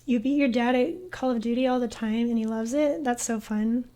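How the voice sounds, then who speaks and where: A young woman streaming a game, chatting in a soft, hesitant way with lots of fillers. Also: fairly high pitch, moderate pace. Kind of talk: casual gaming stream chat.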